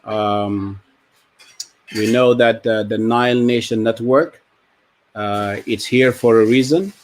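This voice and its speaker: A man addressing an online community meeting, pausing often with fillers and speaking slowly and deliberately. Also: fairly low pitch, fairly even intonation, a little hiss or hum. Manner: halting, many fillers, switches into Arabic.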